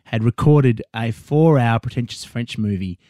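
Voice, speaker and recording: A man's voice: mid-range voice, normal speed, warm tone, dry recording, studio quality. A man hosting a casual chat, asking questions in a wry, conversational way. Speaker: host.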